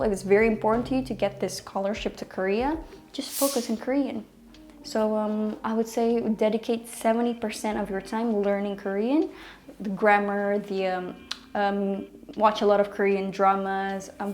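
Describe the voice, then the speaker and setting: A woman's voice: medium pitch, little pitch movement, moderate pace, a little mellow. A young woman, a language teacher giving casual advice in a vlog, talking in a chatty way with many ums and restarts, recorded in a small bedroom. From Indonesia. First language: Indonesian.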